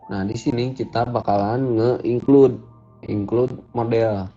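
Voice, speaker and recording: A man's voice: mid-range voice, steady tone, slow, dark tone. A man teaching a programming lesson over a screen recording, talking casually and thinking aloud as he codes. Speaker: coding instructor. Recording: dull lo-fi audio with faint music.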